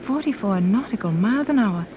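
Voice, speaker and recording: Actress doing refined mom, middle-aged, amazed and very expressive, 1930s radio play with lots of hiss. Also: female voice, mid-range voice, brisk, close to an everyday voice. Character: middle-aged mother, refined, wonderstruck, affectionate. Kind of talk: 1930s radio serial acting. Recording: noisy, dull 1930s radio recording.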